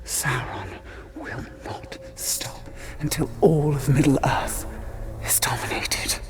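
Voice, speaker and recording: An actor playing an ominous otherworldly man who gives portentous, breathy warnings in a fantasy game cinematic. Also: mid-range voice, close to an everyday voice. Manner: slow, portentous. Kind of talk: dramatic game cinematic narration. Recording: orchestral music behind the voice.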